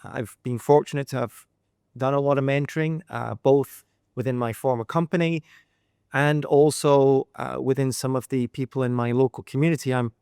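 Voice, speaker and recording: A middle-aged Scottish man talks as a leadership coach, reflective and steady. His voice sits at a middling pitch. The sound is dry and close, with no room around it. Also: moderate pace, clean tone, dry recording. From Scotland. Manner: reflective, steady, uses sayings and examples. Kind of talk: measured podcast interview answers. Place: Dubai.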